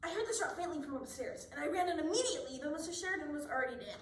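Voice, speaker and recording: A woman's voice: sits high, expressive, racing. A woman playing a deferential household servant in an amateur radio play. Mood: meek, hurried. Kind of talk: amateur radio drama acting. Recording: echoey room, distant mic.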